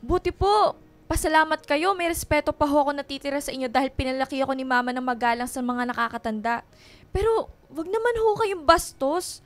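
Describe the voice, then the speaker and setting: A woman's voice: sits high, a little fast, no echo, lightly acted. A Filipino woman playing a dutiful young student and devoted daughter, earnest and polite but firm in a radio drama. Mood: indignant.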